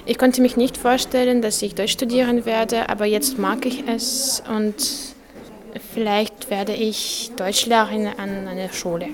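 A woman of about twenty, a student introducing herself in a relaxed, reflective way. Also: mid-range voice, unhurried, a crowd in the background. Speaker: language student. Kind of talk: self-introduction.

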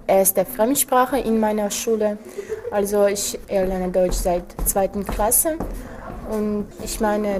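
A woman's voice: mid-range voice, mellow, slight background noise, rough recording. A 19-year-old woman, a student introducing herself plainly, sometimes searching for words. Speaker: language student. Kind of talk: self-introduction.

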